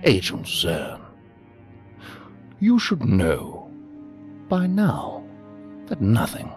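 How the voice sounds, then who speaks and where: An actor playing a cold, scheming superior who gives covert orders in a formal, measured, euphemistic way in an audio drama. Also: male voice, fairly low pitch, huge pitch swings, darkish tone, heavily acted. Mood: cold, ominous. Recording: music under the voice.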